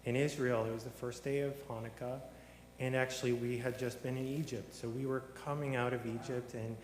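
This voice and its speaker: A man's voice: mid-range voice, flat delivery, fairly quick. Man, rambling, hesitant, wry humor, echoey room, long reverb. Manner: rambling, many ums and restarts, wry humor. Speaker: congregant telling his conversion story. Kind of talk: informal testimony at a service. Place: large synagogue sanctuary.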